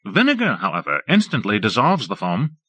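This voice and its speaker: A man's voice: on the low side, huge pitch swings, a little fast, sharp tone, slightly rough, no echo, full character voice. A man voicing a nervous young male apprentice who explains his idea eagerly. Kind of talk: audiobook character voice.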